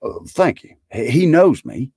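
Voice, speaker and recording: An elderly reformed miser, played by a male narrator in a storybook, brimming with eager joy. He is courteous and effusive, his voice lifted high and swinging widely as he exclaims. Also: very animated, a little slow, gravelly, warm tone, husky, no room sound, clean studio sound, heavily acted. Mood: nervously polite.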